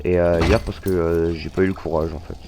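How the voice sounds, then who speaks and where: A man's voice: deep voice, level tone, fairly quick, noisy background. A man with a head cold, chatting casually and hesitantly as he streams a game live. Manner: hesitant, chatty, thinking aloud. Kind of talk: casual gaming livestream commentary.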